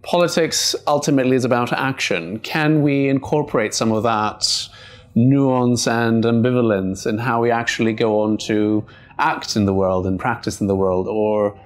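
Guy interviewer, average pitch, asking considered questions. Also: steady tone, normal speed.

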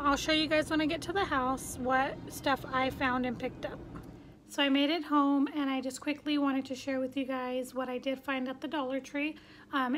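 Woman, 30s, high voice, casual filler-heavy haul chat, phone mic, noisy. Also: fairly bright tone. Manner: chatty, many "um" fillers, upbeat. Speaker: vlogger. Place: bedroom at home, sitting on the floor. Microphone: phone camera at arm's length.

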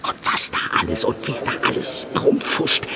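An actor playing a police handler in a naturalistic audio drama, reassuring yet insistent, often dropping into hushed tones. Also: male voice, moderate pace, lightly acted. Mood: tense. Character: male police handler calming and pressuring his undercover agent. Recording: noisy outdoor ambience with vehicle sounds.

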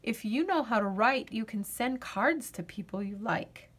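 A patient young big brother in a children's storybook, as a woman reads him, coaxing and reasoning. The voice is on the high side, and the delivery is measured and slow. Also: lively intonation, breathy edge. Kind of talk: children's storybook read-aloud.

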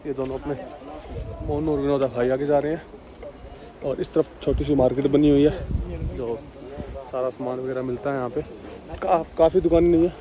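Young guy doing a walk-and-talk travel vlog, chatty, kinda high voice, loud street noise behind. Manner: chatty, talks straight to viewers. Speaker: travel vlogger. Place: outdoors in a busy street market. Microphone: camera-mounted mic. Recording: busy outdoor street noise.

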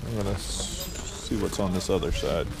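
A man talking casually to himself and to sellers as he browses an outdoor flea market, muttering low-key remarks. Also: moderate pace. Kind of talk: casual vlog narration while shopping. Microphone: body-mounted camera. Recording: outdoor noise behind.

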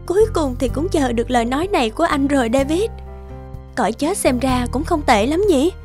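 An actress playing a sweet, playfully teasing woman in love in an animated drama. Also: high voice, brisk, faint music behind. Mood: pleased, teasing.

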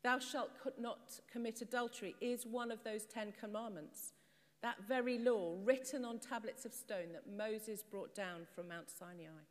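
Middle-aged woman priest; measured sermon, higher voice, long church reverb. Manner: measured, explanatory preaching with rhetorical questions. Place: stone church, at a pulpit. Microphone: far camera.

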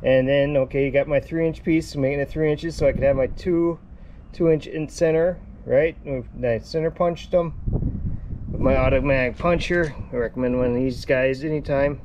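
A man's voice: medium pitch, steady tone, moderate pace, a little mellow, muffled recording, a little hiss or hum. A man in his fifties hosting a casual DIY vlog from his driveway and garage workshop, chatty and rambling, often saying "guys". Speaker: DIY channel host.

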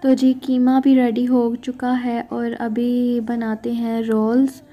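A woman's voice: fairly high pitch, completely flat delivery, takes it slowly, muffled recording, faint music behind. A young woman chatting through a home cooking recipe, often checking in with her viewers as she goes. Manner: chatty, keeps saying "theek hai" to check in with viewers. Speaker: cooking vlogger. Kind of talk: casual cooking narration.

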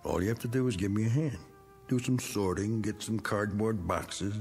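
An actor playing a blunt, gruff middle-aged man who is clearing out his cluttered attic, in a TV drama. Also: on the low side, moderate pace, darkish tone, faint music behind, close to an everyday voice. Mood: pushy. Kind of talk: 1980s TV drama acting.

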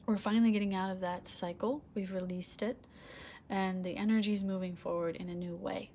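A woman giving a casual tarot reading to camera, soft-spoken with a low, husky voice that is starting to give out, often asking "okay?"; recorded indoors on a faulty microphone. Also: steady tone, a little fast, a little hiss or hum. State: losing her voice, clearing throat. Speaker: tarot reader. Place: indoor room, red curtains and candles on a table. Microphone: broken microphone, quiet.

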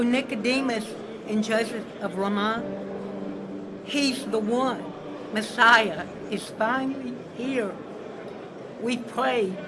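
An actress playing a devout, overjoyed old prophetess in a Bible audio drama, exclaiming with fervour. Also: female voice, fairly high pitch, background noise. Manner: fervent, exclaiming. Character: devout old prophetess Anna, overcome with longing and joy.

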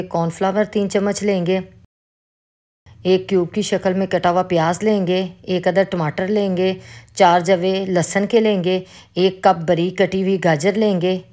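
Calm woman with Pakistani Urdu accent. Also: on the low side. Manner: calm, instructive, with religious greetings. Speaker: cooking channel host. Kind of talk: step-by-step recipe narration. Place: home kitchen.

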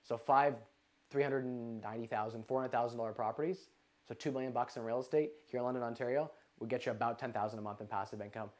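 A man in his twenties hosting a live investing question-and-answer stream from a living room, energetic and gesturing, with lots of "like". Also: medium pitch, fast. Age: 20s. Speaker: livestream host. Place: living room with a couch, indoors.

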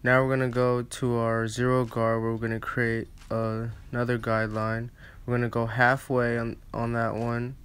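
Clear, even-toned man giving casual instructions. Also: mid-range voice, normal speed. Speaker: barber giving a tutorial. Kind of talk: casual step-by-step instructional narration.

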